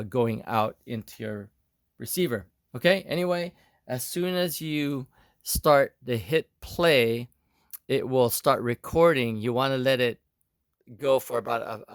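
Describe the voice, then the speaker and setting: A man presents a casual hands-on tutorial as the host. His voice has a marked creak and gravel to it, recorded dry with very little room sound. He speaks haltingly, working out his explanation as he goes. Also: medium pitch, no room sound. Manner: halting, thinking aloud as he goes. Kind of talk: casual how-to demonstration.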